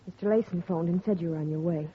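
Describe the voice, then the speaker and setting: A grieving young daughter, played by a woman, recounts events softly in a lowish voice. The sound is thin and tinny, like an old phone line, with faint hiss, as on 1950 radio. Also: fast talker, natural voice. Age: young adult. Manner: soft and earnest, recounting quietly. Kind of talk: 1950s radio drama acting.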